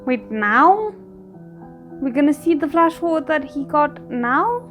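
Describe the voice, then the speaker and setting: A woman's voice: high voice, sing-song, a little slow, a bit bright, faint music behind. A woman giving casual, wry reaction commentary, putting rhetorical questions to the characters on screen. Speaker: reaction video host. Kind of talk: casual live reaction commentary to a drama series.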